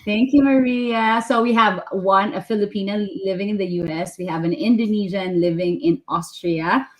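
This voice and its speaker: A woman's voice: medium pitch, normal speed. A woman hosting a live online panel, upbeat as she introduces her guests. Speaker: host.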